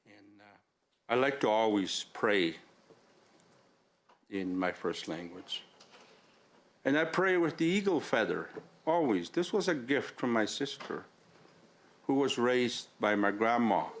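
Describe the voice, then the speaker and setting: An older man whose first language is Cree, speaking as an elder opening a public panel in a gentle, unhurried way with quiet humor. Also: lowish voice, slight background noise. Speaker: elder giving opening prayer. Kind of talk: slow, soft-spoken ceremonial address.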